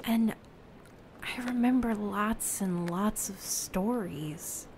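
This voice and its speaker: Woman as a slow, hesitant raft castaway. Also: medium pitch, a little mellow, a little hiss or hum. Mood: troubled, searching. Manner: halting, plain-spoken, unsure. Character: hesitant, self-doubting lone human raft dweller at sea. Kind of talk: naturalistic audio play acting.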